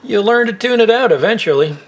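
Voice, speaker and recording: An actor playing a kindly older company employee in an audio drama, folksy, wry and a little hesitant. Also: male voice, high-pitched, lively intonation, a little fast. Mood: wry, friendly. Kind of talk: naturalistic audio drama dialogue.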